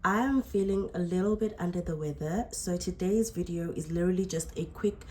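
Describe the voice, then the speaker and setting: Woman, mellow voice, slightly unwell. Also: on the low side, a little fast. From South Africa. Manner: chatty, self-correcting explainer. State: slightly unwell, coming down with flu. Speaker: sewing channel host. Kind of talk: casual tutorial.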